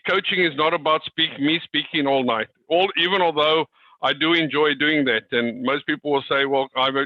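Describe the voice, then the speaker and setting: A man's voice: fairly high pitch, sharp tone, no room sound. A man speaking informally to a group as a coach on a video call, often repeating his words and circling back on phrases. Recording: dull, lo-fi video call audio.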